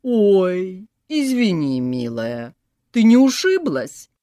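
A woman voicing a scheming, fake-sweet old grandmother who is part snake, cooing and then shrill. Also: very animated, slow, dark tone, no echo, clean studio sound. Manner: cooing, syrupy, turns to shrill wailing.

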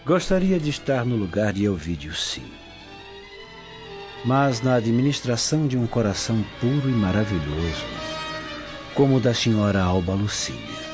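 An actor with a Brazilian accent playing a formal, scheming Roman gentleman in a radio drama. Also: fairly low pitch, big pitch swings, moderate pace, music in the background. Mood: confiding, longing. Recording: dull, muffled studio track.

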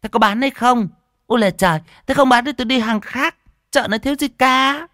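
A man voicing a sharp-tongued, scornful market woman who haggles and exclaims over and over in an audiobook story. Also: very high voice, fairly quick, dry recording, studio quality, pitched above the performer's own voice. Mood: scornful haggling.

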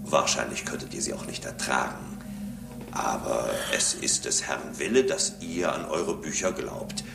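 An actor playing a calm, devout and condescending male robot in a German radio drama, speaking in a measured, formal way. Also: very deep voice, vocal fry, noisy background, heavily acted. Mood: pious, soothing. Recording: echoing voice with loud music.

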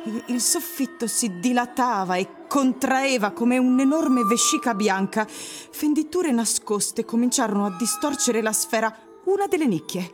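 A woman's voice: on the high side, expressive, measured pace, music in the background. A woman performing descriptive, dramatised literary narration for a radio play. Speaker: actress narrator.

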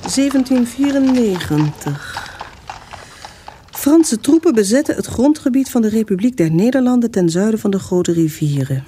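A woman narrates history for a radio drama. Her fairly high, very mellow voice is formal and measured, with a little background noise. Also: very animated.

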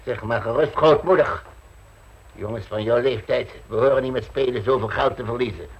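An actor playing a blustering, skeptical aristocratic lord in a radio play, incredulous and gruff. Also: male voice, mid-range voice, moderate pace, rough recording.

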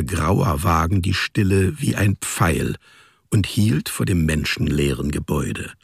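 A man narrating a literary radio drama as a storyteller, measured and deliberate. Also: low voice, creaky, breathy edge, no room sound, clean studio sound.

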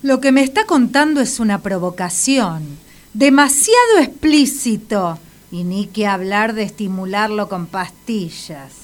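A woman's voice: mid-range voice, expressive, measured pace, lightly acted. A woman playing a stern, haughty, indignant doctor in a comic audio drama.

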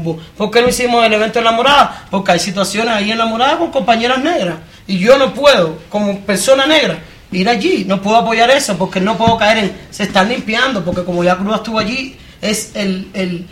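A woman from Cuba, speaking with a Cuban accent, holds the floor at a live discussion. She talks in a direct, forceful way and keeps turning to the audience with pointed questions. A faint background murmur sits under her voice. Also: medium pitch, slight background noise. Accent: Cuban Spanish. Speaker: panelist at a public discussion. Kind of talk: impassioned live panel talk.

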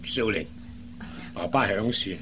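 An actor playing a kindly, emotional old father in a radio drama, gravelly, halting and gentle. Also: fairly low pitch, background noise, close to an everyday voice. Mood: reassuring. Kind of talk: Cantonese radio drama acting.

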